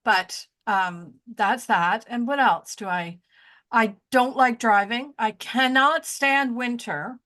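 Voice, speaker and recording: A woman in her sixties talks in a rambling, casual way, stopping to hunt for her train of thought. Her voice sits a little high, and she pokes fun at herself. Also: slow pace, pushed and bright. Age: 60s. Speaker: podcast co-host. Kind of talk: casual chat.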